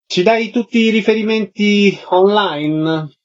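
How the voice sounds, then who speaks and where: A man's voice: high-pitched, takes it slowly. A man hosting a radio interview, courteously putting short questions and making announcements. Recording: slightly dull, lo-fi radio sound.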